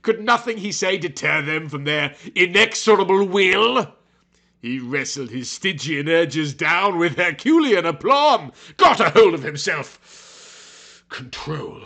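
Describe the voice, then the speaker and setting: A man's voice: a little high, sing-song, takes it slowly, vocal fry, sharp tone. A man reading fiction aloud as a dramatic narrator, with an emphatic, theatrical delivery. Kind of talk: dramatic, overwrought scripted narration.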